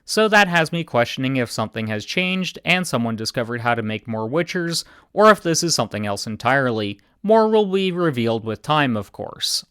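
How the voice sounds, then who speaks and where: Deadpan man, clear and dry. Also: medium pitch, moderate pace, few pauses, dry recording. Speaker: video game commentator. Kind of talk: scripted video commentary.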